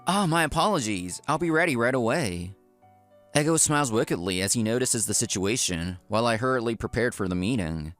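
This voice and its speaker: A man's voice: medium pitch, animated, brisk, a bit bright, faint music behind, natural voice. A man playing an earnest, polite office worker who narrates his own story in a comic dub. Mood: apologetic. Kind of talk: scripted first-person narration, comic dub.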